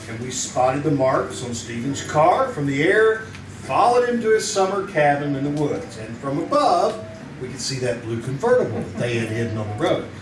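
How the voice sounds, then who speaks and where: A man playing a confident, wry, quick-witted crime photographer in a 1940s-style radio drama. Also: fairly high pitch. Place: live theater stage. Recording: roomy, distant stage recording with music.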